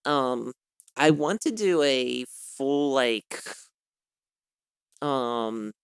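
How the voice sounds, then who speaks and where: A slightly hoarse man streaming a game, reading its story text aloud and then chatting casually, rambling and correcting himself with many fillers. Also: medium pitch, animated, drawn-out words, dry recording, clean studio sound.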